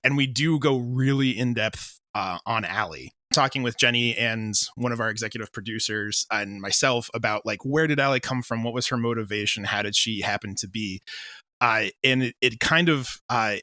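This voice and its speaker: A man's voice: mid-range voice, moderate pace, pushed and bright, dry recording. A man chatting casually as an interview guest, telling stories in a self-deprecating way with quoted asides. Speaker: audio drama creator, interview guest.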